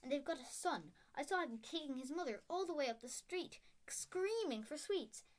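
A woman's voice: sits high, lively intonation, normal speed, voice pushed up. A woman voicing an upset, stern older woman professor in a read-aloud story, raising her voice in emphatic, tremulous outbursts. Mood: outraged.

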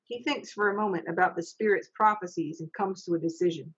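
A woman's voice: on the low side, slightly rough. Middle-aged woman reading stage directions. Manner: brisk, practical, coaxing the young readers along. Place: home office. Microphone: laptop mic on a video call. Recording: dull lo-fi video call audio.

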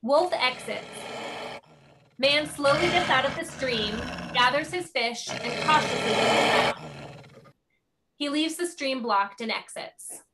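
A woman's voice: high voice, lively intonation, slow pace, pushed and bright, a little hiss or hum. A woman giving scripted narration, reading out the stage directions of a play.

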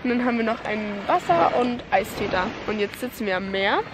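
A woman's voice: a little high, lively intonation, brisk. A young woman chatting playfully to viewers in a casual travel vlog, filmed with a handheld camera outdoors and in a car. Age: young adult. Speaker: vlogger. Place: outdoors and in a car on a road trip. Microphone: handheld camera at arm's length. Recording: outdoor noise from traffic and wind.

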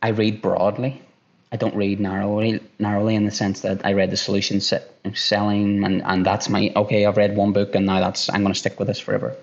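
A man in his thirties with an Irish accent, a sales director answering interview questions on a video call, talking fast and restarting often. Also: on the low side, completely flat delivery, vocal fry. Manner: fast-talking, repeats himself, many restarts. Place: room at home by a window. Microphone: headphones on a video call. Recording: dull lo-fi call audio.

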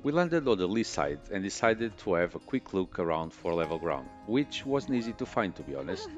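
A man narrating his sailing trip as a travel vlog voice-over, in a relaxed tone with wry understatement. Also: low-pitched, a little fast, muffled recording. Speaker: boating vlogger. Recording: music playing underneath.

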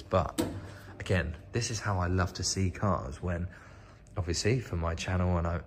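British guy, low voice, chatting casually like a car vlogger, bit of background noise. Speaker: vlogger, host of a channel about rotten old cars. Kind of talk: casual off-the-cuff walk-around commentary. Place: inside a car workshop.

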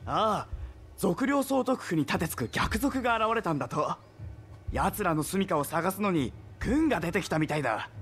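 A man's voice: high-pitched, expressive, moderate pace, fairly bright tone, a little hiss or hum. An actor playing a nosy, talkative villager in a video game, casual in his speech. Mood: curious. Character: chatty, nosy male villager passing on rumours. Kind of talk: Japanese video game voice acting.

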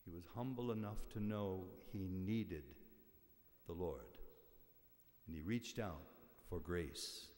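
An older American man preaches an informal homily as a priest. His voice sits a little low, and he talks conversationally, pausing and wandering into asides. A lectern microphone carries him through the long reverb of a large church. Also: a little mellow. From western New York, United States. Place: large church interior, at the ambo. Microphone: gooseneck lectern microphone. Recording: reverberant church hall sound.

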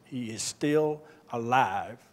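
An older man giving a reflective speech on stage into a handheld microphone, deliberately, with emphatic phrases. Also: mid-range voice. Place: stage in an auditorium.

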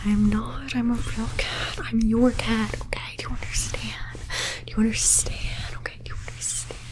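A woman voicing a playful, doting pet cat in an ASMR roleplay, whispering softly and meowing between her words. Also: fairly high pitch, level tone, normal speed, lightly acted, rough recording. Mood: whispery, playful. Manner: soft whispering with repeated meows.

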